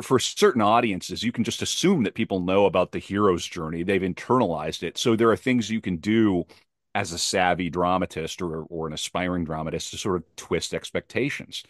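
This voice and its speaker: A man's voice: sits low, dry recording, clean studio sound. A man speaking as a podcast guest and playwright, talking casually and emphatically about his craft, often rephrasing for stress. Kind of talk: animated casual interview talk about craft.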